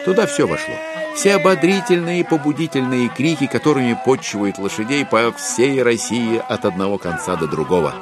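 A man narrating classic literature as a storyteller in an expressive, dramatic audio production. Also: mid-range voice, brisk, few pauses. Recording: music behind narration. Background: music.

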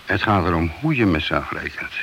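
A man's voice: low-pitched, animated, a little fast, gravelly, a bit bright, full character voice. A man playing a principled, long-serving police detective in a radio play, admonishing in a matter-of-fact way. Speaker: radio drama actor. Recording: hissy, noisy old radio recording.

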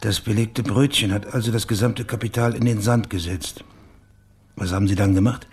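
A husky, fairly deep male investigator in a radio crime drama. He sounds matter-of-fact and dogged, pressing on in a businesslike way. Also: fairly even intonation, normal speed, darkish tone.

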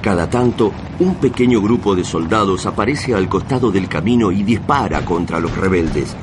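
A man's voice: low-pitched, expressive, moderate pace, background noise. A man with an Argentine accent narrating a radio drama in a dramatic, scripted style.

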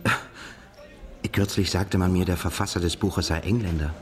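An actor playing a brooding, haunted émigré narrator in a literary radio drama, speaking in a halting, self-correcting way. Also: male voice, sits low, fairly even intonation. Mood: curious. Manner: halting, self-correcting while translating aloud from English. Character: brooding Russian émigré man, narrator haunted by a past shooting.

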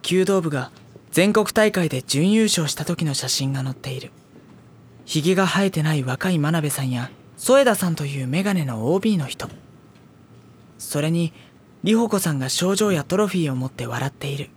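A man's voice: a little high, expressive, normal speed, a little hiss or hum, lightly acted. Man playing a reserved, sardonic teenage schoolboy. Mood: pensive, uneasy. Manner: polite, dry, exasperated. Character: reserved, sardonic high school boy, first-person narrator. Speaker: actor. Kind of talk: audio drama narration and dialogue.

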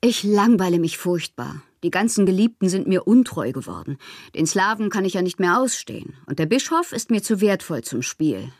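Casting: lonely bohemian woman writer for a literary radio monologue, low husky register, wistful and theatrical, often whispering, close dry sound. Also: big pitch swings, normal speed, no echo. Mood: lonely, pleading.

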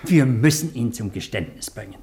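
An actor playing a ruthless, calculating police inspector in a German radio play, deliberate and commanding. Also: male voice, medium pitch, lively intonation, gravelly, dark tone. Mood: scheming, commanding.

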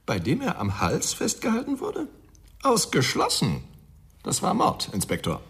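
A man's voice: sits high, wildly swooping pitch, measured pace, creaky, a bit bright, heavily acted. A man playing a sardonic, unfazed forensic pathologist in a German radio crime drama, wry, theatrical and matter-of-fact.

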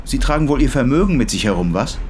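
Man acting a brusque, animated railwayman. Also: medium pitch, fast, slight background noise, lightly acted. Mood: officious, suspicious. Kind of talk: radio drama acting.

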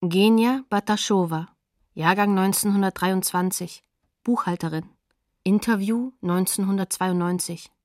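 A woman's voice: medium pitch, unhurried, no echo, studio quality. A woman announcer reading sober, neutral source credits for a radio documentary drama in a factual manner.

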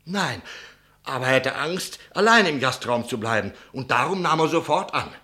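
A man's voice: mid-range voice, expressive, normal speed, sharp tone. An actor playing an anxious, talkative innkeeper in a German radio play, telling his account dramatically and deferentially. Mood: uneasy, explaining. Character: anxious, talkative male innkeeper giving testimony.

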